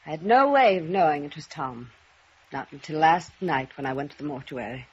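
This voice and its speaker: A woman's voice: sits low, lively intonation, a little fast. A woman acting an anxious, refined, mature lady in a 1950s radio melodrama, speaking hesitantly. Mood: frightened. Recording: dull, muffled old radio recording.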